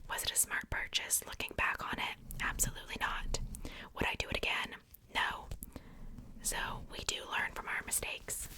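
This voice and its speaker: A woman speaking in a soft, breathy whisper, chatting casually and unhurriedly in ASMR style close to a sensitive microphone. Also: normal speed, poor audio. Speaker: ASMR video creator.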